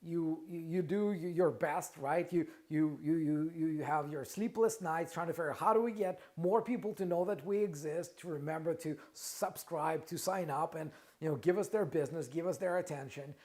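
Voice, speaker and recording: A middle-aged man with a Russian accent talks through a live question-and-answer stream, his voice sitting a little high for a man. He speaks conversationally, often restarting and repeating words and checking in with "right?". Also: moderate pace, few pauses, a little mellow. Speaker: trademark lawyer and company founder, show host. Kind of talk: live Q&A stream, casual talk.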